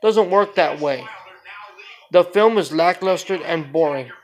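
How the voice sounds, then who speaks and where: A man with a noticeably high-pitched voice delivers an off-the-cuff amateur review. He is blunt and opinionated, complaining in an exasperated way with plenty of profanity and repetition. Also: measured pace. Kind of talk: casual opinionated rant review.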